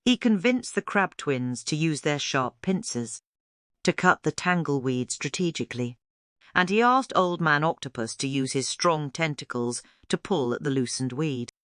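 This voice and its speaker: A woman's voice: sits low, animated, normal speed, no room sound, clean studio sound. A woman reading a scripted children's story aloud as its storyteller.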